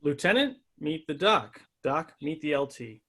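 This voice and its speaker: Man acting as high-pitched, lively Navy officer. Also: measured pace. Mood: introducing. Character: upbeat male Navy officer, coaxing and teasing. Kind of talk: screenplay table read.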